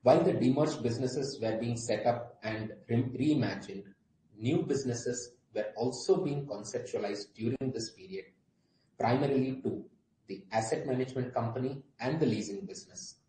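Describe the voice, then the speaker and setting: A man's voice: medium pitch, moderate pace, dark tone. A man with an Indian accent, a company executive giving a formal prepared presentation on an earnings call. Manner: formal, scripted delivery. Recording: roomy echo, faint background noise.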